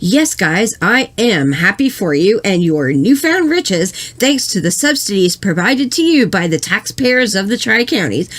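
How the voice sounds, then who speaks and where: An actress playing an earnest, skeptical local news co-anchor, measured and dryly sarcastic in a satirical newscast. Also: female voice, a little high.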